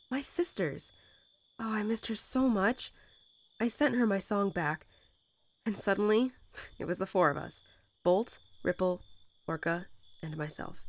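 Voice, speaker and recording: A woman playing a young mother wolf who talks tenderly and without hurry to her newborn pups, in the style of a bedtime roleplay audio. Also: mid-range voice. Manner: tender, unhurried, softly chuckling, hesitant informal phrasing. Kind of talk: soothing bedtime roleplay audio, intimate storytelling.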